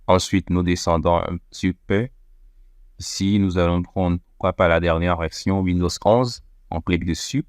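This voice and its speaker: A man narrating a step-by-step software tutorial over a screen recording, methodical, with frequent hesitation fillers. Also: deep voice, fairly even intonation, normal speed, no echo, clean studio sound. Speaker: tech tutorial presenter.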